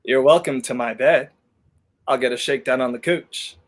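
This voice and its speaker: A young man playing a flippant, skeptical gentleman in a 1930s radio thriller, wry and theatrical. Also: mid-range voice, moderate pace, natural voice. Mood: wry, reassuring. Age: young adult. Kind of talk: 1930s radio play acting.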